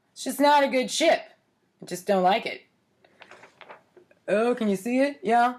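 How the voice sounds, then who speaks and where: A woman in her forties talking casually and playfully in a storytime vlog, with a wry, mock-earnest manner. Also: mid-range voice. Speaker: host of a storytime series. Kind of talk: casual comic storytime vlog, commenting on own childhood writings. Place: home kitchen, indoors.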